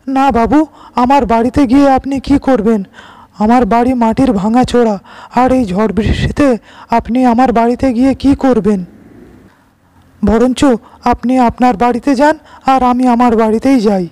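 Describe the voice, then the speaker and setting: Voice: medium pitch, fairly even intonation, moderate pace, pauses often, no room sound, lightly acted. An actor voicing a humble, earnest poor village labourer in a cartoon moral story, speaking respectfully. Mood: humble, polite. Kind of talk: Bengali animated moral story dialogue. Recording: loud music under the voice.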